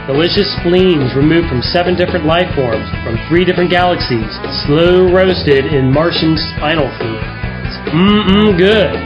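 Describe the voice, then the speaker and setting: Man as a high-voiced ad announcer over music. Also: expressive, unhurried. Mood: salesy. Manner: salesman-like, upbeat pitch. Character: cheery male pizza commercial announcer. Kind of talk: parody radio ad read. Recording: dull lo-fi audio over music.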